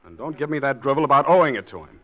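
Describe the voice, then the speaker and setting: An actor playing a curt sarcastic husband, fast talker, dull old radio sound. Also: male voice, medium pitch, lively intonation, lightly acted. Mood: harsh. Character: bitter, sarcastic middle-aged husband. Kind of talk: 1940s radio acting.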